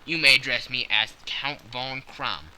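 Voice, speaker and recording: Male narrator as a commanding, secretive German-accented nobleman, storybook style. Harsh, sharp, imperious; faint noise behind. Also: unhurried, low-quality recording. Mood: grave, imperious. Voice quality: deep, harsh. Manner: formal, forceful, imperious. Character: huge masked Bohemian nobleman, haughty, secretive, commanding.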